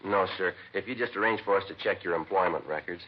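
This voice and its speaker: An actor playing a terse, dogged police detective in a clipped, deadpan, matter-of-fact 1950s radio drama style. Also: male voice, deep voice, fast talker. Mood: polite, businesslike. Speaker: police detective narrator and lead. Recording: muffled vintage radio recording, music.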